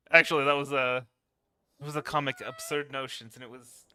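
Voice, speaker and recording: A man's voice: medium pitch, steady tone, moderate pace, pushed and bright. A man giving casual, rambling live commentary as a game streamer, with wry asides.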